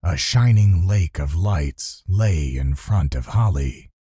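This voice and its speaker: A male audiobook narrator with a deep, very husky, mellow voice. He reads children's fantasy in a measured storytelling style, recorded close and dry. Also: animated, no echo.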